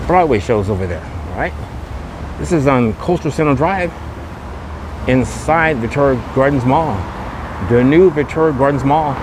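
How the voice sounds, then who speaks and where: A man hosting a casual walking tour outdoors, talking in repetitive promotional patter. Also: mid-range voice. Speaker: podcast host and walking tour guide. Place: outdoors on a shopping mall street. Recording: noisy outdoor street with passing cars.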